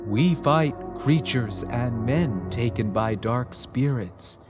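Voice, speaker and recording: A man voicing a grave, steady monster hunter, speaking slowly and solemnly over background music. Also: medium pitch, big-room sound, pitched below the performer's own voice.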